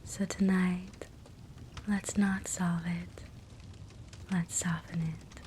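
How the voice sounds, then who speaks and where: A woman guiding a sleep hypnosis meditation in a soft, breathy whisper, gentle and soothing. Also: on the low side, extremely slow. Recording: soft steady fireplace crackle noise. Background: fireplace ambience.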